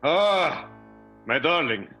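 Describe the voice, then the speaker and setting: A man acting a melodramatic, guilt-ridden gentleman in an improvised 1920s radio soap, with halting, ornate phrasing. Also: fairly high pitch, very animated, faint music behind, full character voice. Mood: grave confession. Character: melodramatic gentleman confessing to his darling, grandly guilt-ridden.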